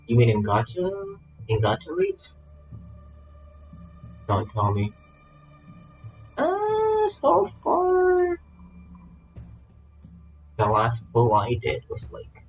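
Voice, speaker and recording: A man casually commentating on a livestreamed game and chatting with viewers in halting, offhand remarks. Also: fairly low pitch, a little mellow, highs cut off. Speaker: game streamer. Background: faint game music.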